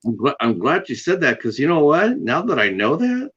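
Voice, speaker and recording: A man's voice: a little high, huge pitch swings, normal speed, fairly bright tone, slightly rough, muffled recording. A man hosting an interview, asking long, rambling questions with eager enthusiasm. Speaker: interview host. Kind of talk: casual interview chat.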